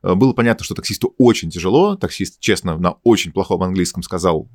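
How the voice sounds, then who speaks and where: A man from Russia with a low voice hosts a relaxed podcast conversation. He talks quickly through long anecdotes, padding them with fillers. The sound is dry and close. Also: dry recording. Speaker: podcast host. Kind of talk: casual conversational podcast chat.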